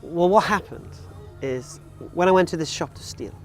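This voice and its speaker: A man's voice: a little high, normal speed, soft background music. A man of forty who grew up in Wales, speaking frankly about his life in a casual interview, with frequent ums and uhs. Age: 40s. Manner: frank, plain-spoken, with many ums and uhs. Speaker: interview guest. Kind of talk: casual personal storytelling.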